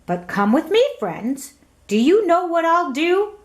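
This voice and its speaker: A sly, eager storybook salesman, acted out by a woman, pitching with showy persuasion. The voice sits high and swings widely in pitch, keen to win the customer over. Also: big pitch swings, unhurried, full character voice. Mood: sly, coaxing. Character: sly, keen fast-talking male salesman in a children's storybook.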